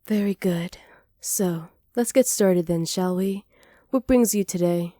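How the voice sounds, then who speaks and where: A sly, self-assured witch played by a woman speaks softly in a warm, dark-toned voice for an ASMR roleplay. She is flirtatious and hospitable, teasing and flattering as she goes. The sound is very dry, with no room around it. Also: lowish voice, takes it slowly, dry recording. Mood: flattering, reassuring. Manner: soft-spoken, teasing, flattering, partly whispered. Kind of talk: ASMR roleplay, slow scripted acting.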